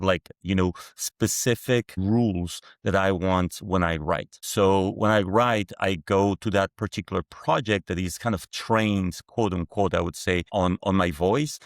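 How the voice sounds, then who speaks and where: Mexican guy in his 40s, english not his first language, low gravelly voice, lots of restarts and fillers. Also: no room sound, clean studio sound. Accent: Mexican Spanish. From Mexico City. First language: Spanish. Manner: halting, many restarts and fillers. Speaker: guest founder. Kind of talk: conversational interview.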